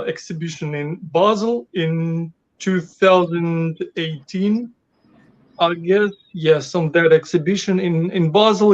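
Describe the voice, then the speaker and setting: A man from Ukraine speaks haltingly in a fairly high voice, stopping often to restart his sentences. The call audio sounds dull and lo-fi. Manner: hesitant, many fillers and restarts. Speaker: guest artist. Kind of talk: casual interview over a call. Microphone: video call.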